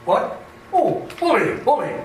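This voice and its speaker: A man's voice: extremely high pitch, sing-song, measured pace, full character voice. A man playing a deluded, blustering nephew who acts like a president, exuberant and clipped, in a radio comedy. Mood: hearty. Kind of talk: old-time radio comedy acting. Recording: echoey, distant, faint noise.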